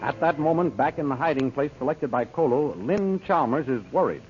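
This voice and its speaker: Higher-pitched vintage male radio announcer over music. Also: expressive, a little fast. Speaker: announcer and narrator. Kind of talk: 1930s radio announcing, dramatic scripted narration. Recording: dull old radio recording with music.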